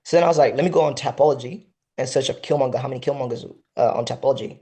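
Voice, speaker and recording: A man's voice: medium pitch, rapid-fire, warm tone, husky. A young man from South Sudan, a fighter and guest on a podcast, talking casually in laid-back slang and tagging on "you know" often. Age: young adult. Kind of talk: casual interview.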